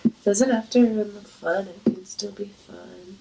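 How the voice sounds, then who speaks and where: Woman, congested with a cough, heavy vocal fry, unhurried pace, flirty, playful, casual intimate chat. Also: mid-range voice, dark tone, slight background noise, low-quality recording.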